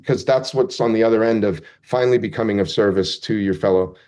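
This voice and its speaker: A man's voice: fairly low pitch, fast, darkish tone. A man speaking as an interview guest, rambling and heartfelt, sometimes poking fun at himself. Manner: rambling, heartfelt, self-deprecating. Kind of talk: casual interview chat.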